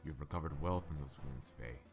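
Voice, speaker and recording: A man voicing a calm, enigmatic masked male martial arts master who speaks in measured, probing lectures, in a fan radio drama. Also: a bass voice. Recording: music underneath.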